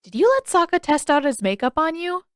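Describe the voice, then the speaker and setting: A woman voicing an eager, energetic young boy full of exclamations in an audiobook. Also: high-pitched, fairly quick, no room sound, clean studio sound, voice pushed up. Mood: thrilled, breathless excitement. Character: excitable, energetic young boy, soaking wet. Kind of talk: audiobook character voice.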